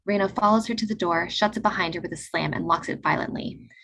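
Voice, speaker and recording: A woman reading stage directions aloud in a play reading over a video call, in a brisk, even narrating manner. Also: lowish voice, husky.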